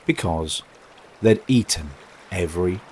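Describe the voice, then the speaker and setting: A man reading narrative verse aloud for children as a storyteller, expressive, measured and dramatic. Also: low-pitched, slight background noise.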